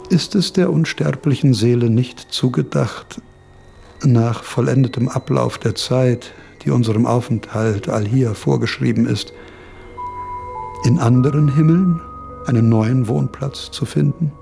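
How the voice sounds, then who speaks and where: Scholarly philosopher, gravelly voice, formal, deliberate, reflective, radio drama, faint music behind. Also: male voice, mid-range voice, warm tone. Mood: moved, wondering. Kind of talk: radio drama monologue and lecturing.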